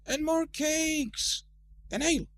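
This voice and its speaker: A man's voice: very high-pitched, slow, fairly bright tone, pitched above the performer's own voice, heavily acted. A man voicing hungry male dwarf guests in a storybook, eagerly calling out their orders.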